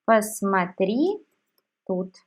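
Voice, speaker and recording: A woman teaching a language lesson, saying each word separately with long pauses. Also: medium pitch, very animated. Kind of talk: slow, deliberate language lesson.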